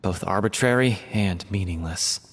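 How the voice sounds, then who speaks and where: A man playing an earnest, excitable playwright in a video game, speaking in an effusive, theatrical way. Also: low-pitched, normal speed, big-room sound, slight background noise. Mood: somber. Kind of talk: animated video game voice acting.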